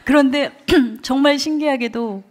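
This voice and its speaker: A woman's voice: sits high, a little slow. A woman in her forties giving a heartfelt testimony as a guest speaker at a church revival, telling her story with earnest conviction into a microphone. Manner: earnest storytelling, quoting others with lively emotion. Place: church sanctuary.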